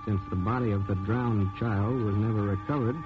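A man hosting a 1940s radio program with measured, dramatic scripted narration. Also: lowish voice, fairly even intonation, over music. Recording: dull 1940s radio recording.

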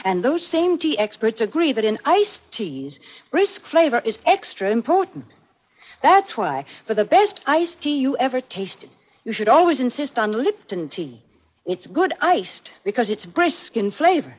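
A woman's voice: fairly high pitch, sing-song, a little slow, husky. A woman delivering a scripted 1940s radio advertisement in a polished, emphatic sales manner. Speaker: commercial announcer. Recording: muffled vintage radio recording.

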